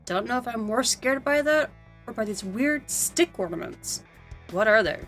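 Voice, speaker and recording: A woman's voice: on the high side, lively intonation, moderate pace, a bit bright, soft background music. A woman co-hosting a scripted commentary on a cartoon, in conversational banter. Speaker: co-host.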